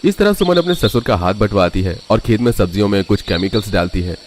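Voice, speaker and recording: A man's voice: on the low side, lively intonation, fast talker, slight background noise. A man reading scripted narration for a cartoon family story as the storyteller.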